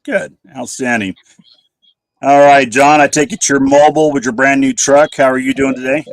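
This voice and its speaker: A man's voice: mid-range voice, expressive, moderate pace, creaky, dry recording. A man hosts a casual online roundtable in an informal, chatty way. His voice is a bit scratchy from a cold and is recorded dry, with no room sound. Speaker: host of a panel livestream. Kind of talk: casual chat, hosting.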